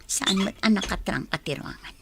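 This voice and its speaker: A woman's voice: mid-range voice, normal speed, vocal fry, noisy background, lightly acted. A woman with a Filipino accent playing a headstrong, jealous rich daughter and wife in an audio drama. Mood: curious, suspicious.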